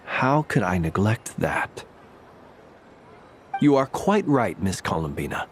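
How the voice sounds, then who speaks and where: A man voicing a courteous gentleman candy maker in a video game, speaking formally and politely with elaborate phrasing. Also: lowish voice, very animated, slow pace, slight background noise. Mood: gently probing. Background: game soundtrack music.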